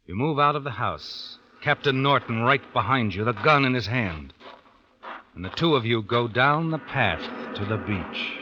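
An actor playing an all-knowing, sinister narrator in an old radio suspense drama, ominous and insinuating. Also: male voice, medium pitch, lively intonation, moderate pace, bright, forceful tone. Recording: muffled vintage radio recording.

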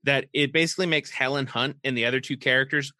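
A man's voice: medium pitch, steady tone, fast talker, sharp tone, no echo, studio quality. A man co-hosting a podcast, joking sarcastically and rambling as he picks apart a movie poster. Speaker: podcast co-host. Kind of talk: casual comedic chat reviewing a movie poster.

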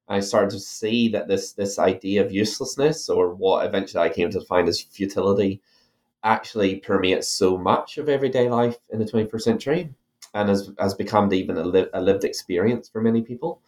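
A man with an Irish accent talks through his ideas in a fairly low voice. He is a guest author in an interview, pausing often with fillers and restarting his sentences as he thinks aloud. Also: darkish tone. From Ireland. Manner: thoughtful, many fillers and restarts. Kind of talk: academic interview.

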